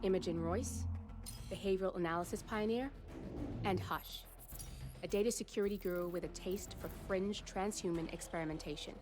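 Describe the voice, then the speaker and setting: Brief: actress as a dry, level handler, lowish voice, music bed under her. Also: lightly acted. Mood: cool. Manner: measured, dry, businesslike. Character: composed female handler giving a mission briefing. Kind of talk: game cutscene briefing. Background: cutscene music.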